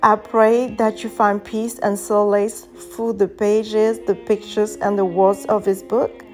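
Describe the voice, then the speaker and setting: A woman with a French accent, a native French speaker, reading her own poetry in a gentle, reflective way. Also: medium pitch, little pitch movement, slow pace, faint music behind. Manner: gentle, reflective, soft-spoken.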